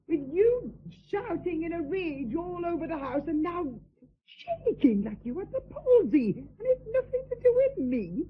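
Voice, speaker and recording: A woman's voice: very high voice, sing-song, measured pace, narrow, tinny audio, full character voice. An actress playing a bossy, indignant lady of the house who scolds and demands, in a radio drama.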